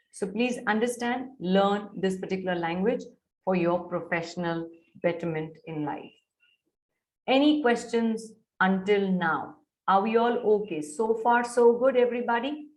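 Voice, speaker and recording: A middle-aged woman with an Indian English accent gives an online soft skills lecture to students. She coaxes them and keeps checking whether they are following. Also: fairly high pitch, poor audio. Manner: coaxing, mixes Hindi into English, checks on students often. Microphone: video call.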